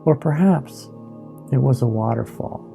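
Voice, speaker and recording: A man leading a guided meditation in a slow, soothing, hypnotic manner, with long pauses between short phrases. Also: mid-range voice, vocal fry. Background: ambient new-age music with singing bowls.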